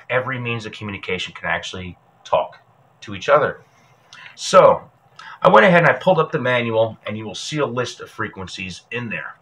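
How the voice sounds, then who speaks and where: A man's voice: lowish voice, bright, forceful tone. An American man in his thirties giving an informal how-to tutorial to camera in a folksy, jokey, plain-spoken way. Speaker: host of a survival show, instructor. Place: small indoor room with patterned wall.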